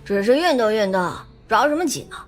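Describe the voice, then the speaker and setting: A woman voicing a precocious, unbothered four-year-old boy in an audio drama, sighing and gently teasing. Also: fairly high pitch, animated, a little fast, close to an everyday voice. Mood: carefree. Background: background music.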